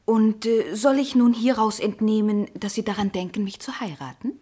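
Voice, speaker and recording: An actress in a radio play playing a worldly, ironic big-city woman with a husky, breathy voice, knowing and exasperated. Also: medium pitch, steady tone, normal speed, warm tone, close to an everyday voice. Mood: teasing, ironic.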